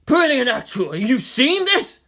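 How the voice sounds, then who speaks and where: A woman's voice: high-pitched, very animated, a little slow, pushed and bright, pitched above the performer's own voice, full character voice. A woman voicing a tense male military squad leader giving clipped radio reports in a story reading. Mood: alarmed. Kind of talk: dramatized fan fiction reading.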